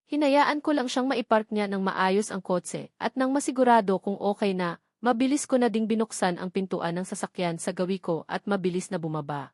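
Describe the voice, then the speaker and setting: A woman's voice: medium pitch, darkish tone, dry recording, studio quality. A woman with a Filipino accent reading a scripted first-person novel as an audiobook narrator.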